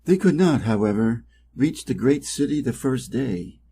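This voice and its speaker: A man's voice: medium pitch, expressive, unhurried, dark tone, a little hiss or hum. A man from Wisconsin with an American accent, reading a fairy tale carefully and expressively for English learners. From Wisconsin, United States. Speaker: storyteller, English reading teacher.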